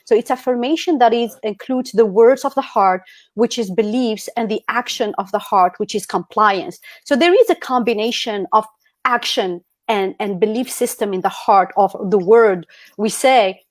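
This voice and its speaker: A woman's voice: medium pitch, normal speed, no room sound. A woman from Algeria giving an earnest talk as a guest speaker over a video call, with frequent fillers like "like" and "um".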